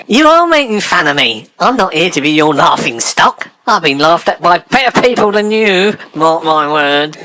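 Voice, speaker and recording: Camp, swooping, touchy English gent. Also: male voice, a little high, big pitch swings, moderate pace, hardly any pauses, sharp tone. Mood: offended. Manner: affected, arch, gossipy, indignant. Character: camp, touchy, chatty gentleman with affected airs. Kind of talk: old-fashioned British radio sitcom acting.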